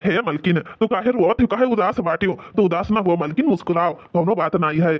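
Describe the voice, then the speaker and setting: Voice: medium pitch, brisk, highs cut off, close to an everyday voice. A performer voicing an agreeable, reassuring village family man in an Awadhi cartoon comedy, with a coaxing manner and an Awadhi accent. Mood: soothing.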